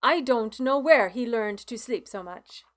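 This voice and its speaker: A woman doing a gruff old Scottish farmer. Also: fairly high pitch, lively intonation, a little slow, a bit bright. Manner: gruff, exasperated, blustery.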